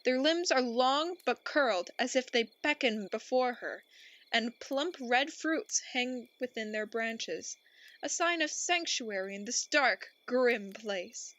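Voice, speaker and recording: A woman's voice: fairly high pitch, expressive, takes it slowly, fairly bright tone, highs cut off. A woman playing an exasperated, gloomy storybook narrator in a radio play, theatrical and stern. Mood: ominous.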